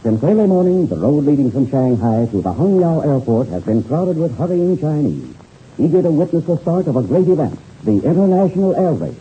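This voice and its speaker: A man's voice: fairly high pitch, sing-song, fairly quick, husky. A man narrating a 1930s radio serial in a dramatic, formal announcer's manner, on a muffled old recording.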